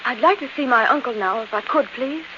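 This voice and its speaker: A muffled 1940s radio recording, thick with noise. An actress plays an anxious, well-mannered young niece in a mystery drama, her voice set high. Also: a little fast, natural voice. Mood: polite, anxious. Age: young adult.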